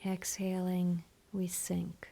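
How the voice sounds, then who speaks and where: A woman leading a guided meditation in a soothing, gentle, instructional manner. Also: lowish voice, completely flat delivery, takes it slowly, gravelly. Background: soft meditation music with chimes.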